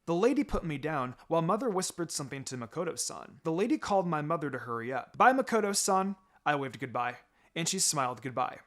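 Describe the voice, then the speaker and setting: A man reading fan fiction as a dramatic first-person narrator, with halting pauses and background music. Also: a little high, animated, fairly bright tone. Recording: music behind the voice.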